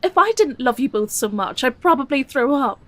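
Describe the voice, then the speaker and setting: Adult daughter for audio drama: higher register, wry, teasing, affectionate, reluctant. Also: female voice, expressive, normal speed, no echo.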